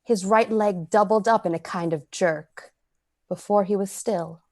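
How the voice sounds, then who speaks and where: A woman playing a cold, predatory poisoner who narrates an old-time radio drama in a measured, dramatic way. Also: mid-range voice, a little mellow. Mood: intent, detached. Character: cold, predatory, calculating poisoner telling the tale.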